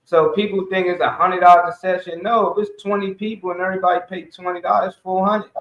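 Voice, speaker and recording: Echoey, high-voiced man talking emphatically. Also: steady tone, quick pace, slight background noise. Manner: emphatic, exhorting, colloquial, swearing. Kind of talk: casual opinionated community talk. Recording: dull, echoey room sound.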